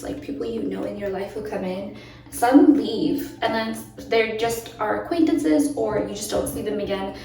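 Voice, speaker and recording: A young woman vlogger talking earnestly to camera, her voice croaky from a late night. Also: mid-range voice, far from the mic. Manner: earnest, encouraging, musing. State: croaky from little sleep. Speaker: lifestyle vlogger. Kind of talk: reflective talk to camera. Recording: echoey, from off the mic, soft music.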